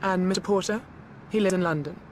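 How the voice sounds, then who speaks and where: A woman's voice: on the low side, fairly quick, slight background noise, lightly acted. A woman playing a poised, scheming female villain with a composed, formal manner in a cinematic video game. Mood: cool authority. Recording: music behind the voice.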